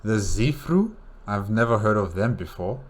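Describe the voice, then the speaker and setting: A man's voice: lowish voice, expressive, normal speed. A man hosting a reaction, commenting casually and conversationally as he muses aloud. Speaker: reaction host.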